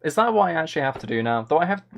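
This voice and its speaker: A man's voice: fairly high pitch, sing-song, very fast, creaky, fairly bright tone. A young man chatting casually while he streams a game, rambling and self-deprecating into a close mic. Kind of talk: casual live-stream chat while gaming.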